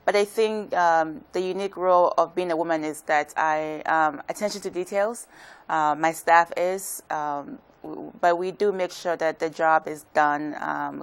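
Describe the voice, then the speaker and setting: Woman from Ghana, very low voice, soft and hesitant, many "um"s. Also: clear, resonant tone. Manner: soft-spoken, hesitant, frequent "um"s. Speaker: contractor, guest. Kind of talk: interview answers.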